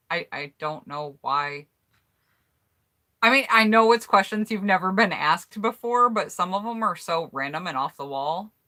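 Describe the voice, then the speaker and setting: A woman's voice: fairly low pitch, bright, forceful tone. An American woman chatting casually on a home mic, reading out viewers' answers in an upbeat, rambling way. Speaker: YouTuber hosting a collab tag.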